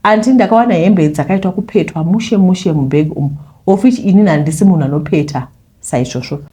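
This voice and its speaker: A Zimbabwean woman narrates a personal confession at a brisk pace. Her voice is soft-edged and mellow, sitting a little low. She keeps it conversational, slipping English phrases into the story. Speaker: YouTuber reading a listener's confession.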